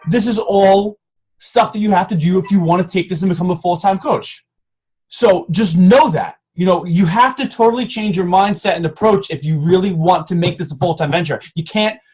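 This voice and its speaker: Young man in his twenties, high and husky voice, earnest pushy team coach, stumbles and restarts often. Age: 20s. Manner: earnest, pushy pep talk with many restarts. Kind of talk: motivational team call. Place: home. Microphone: camera.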